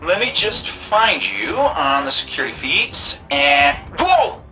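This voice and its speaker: A woman voicing a breezy, excitable comms contact in a sci-fi game, casual and playful with exaggerated, drawn-out phrasing. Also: low-pitched, over music, exaggerated voice, rough recording. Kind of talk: scripted game dialogue.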